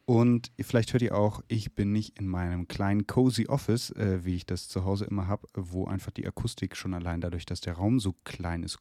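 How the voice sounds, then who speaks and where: A man's voice: on the low side, dark tone, no echo, clean studio sound. A man with a cold co-hosting a casual theological podcast from a room in his parents' home, steadily guiding the talk. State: has a cold. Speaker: podcast co-host.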